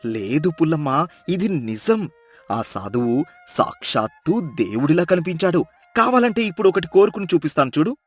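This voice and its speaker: A man voicing a poor, greedy village husband in a storybook drama, talking in a lively, excitable way. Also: fairly high pitch, normal speed, faint music behind. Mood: eager.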